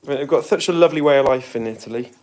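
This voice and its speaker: A man's voice: mid-range voice, racing, darkish tone. Chatty British man live-streaming. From Britain. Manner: chatty, reads and answers viewer comments. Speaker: vlogger. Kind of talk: casual live-stream chat while cleaning. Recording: roomy home recording with faint noise.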